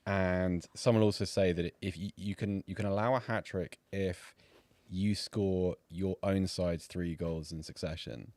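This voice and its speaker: A man's voice: low voice, fairly even intonation, a little slow, hardly any pauses, a little mellow, no room sound. A man co-hosting a live football chat, explaining things in detail with hesitant restarts. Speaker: co-host.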